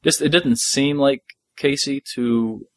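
A man's voice: medium pitch, fairly even intonation, slow, dry recording. A man hosting a podcast, talking casually as a fan discussing a story. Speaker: podcast host.

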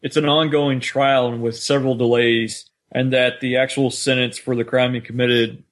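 A man's voice: medium pitch, level tone, normal speed. A man talking casually as a podcast co-host, discussing a story with his partner. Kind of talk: casual discussion of an audio drama.

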